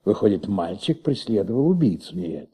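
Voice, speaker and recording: An actor playing an ailing, insistent middle-aged police commissioner in a radio play, gravelly and halting, repeating himself as he thinks aloud. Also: on the low side, big pitch swings, warm tone. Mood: pondering, restless. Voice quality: gravelly, husky. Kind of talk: Soviet radio play acting. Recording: muffled old radio recording.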